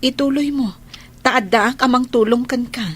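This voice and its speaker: A caring woman in her thirties, the devoted eldest daughter of an Ilocano radio drama. Her voice sits fairly high, with an Ilocano accent. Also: moderate pace, a bit bright, slightly rough. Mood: concerned, protective.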